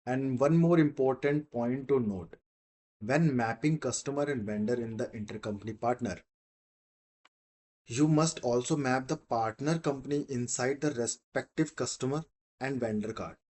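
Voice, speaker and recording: A man teaching in a software demo, explaining step by step in a halting, repetitive way. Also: mid-range voice. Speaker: software instructor.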